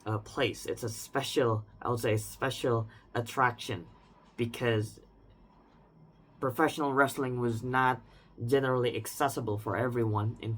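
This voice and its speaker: A man's voice: mid-range voice, a bit bright. A man in his twenties with an Indonesian accent, speaking unscripted in a reflective personal monologue, often restarting and hedging. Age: 20s. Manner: reflective, with frequent restarts and hedges like "I would say". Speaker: vlogger.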